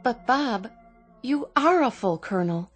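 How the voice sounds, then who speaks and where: An actress playing a worried, gently reassuring wife and mother in a radio drama, choosing her words with care. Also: fairly high pitch, animated, measured pace, over music. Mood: puzzled. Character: worried, soothing wife and mother of a troubled officer.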